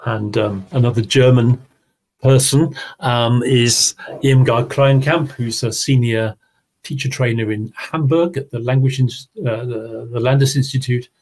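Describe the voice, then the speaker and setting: Guy giving a webinar over a video call, mid-pitch voice, walks through his slides. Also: fairly even intonation, unhurried, a little mellow. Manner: explanatory, talks through slides. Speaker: webinar presenter. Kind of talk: online teaching seminar.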